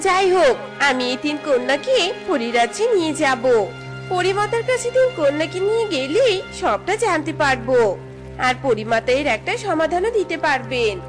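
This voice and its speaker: A woman voicing a kind, curious young fairy in a cartoon fairy tale, gentle, earnest and expressive. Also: sits high, moderate pace, music in the background.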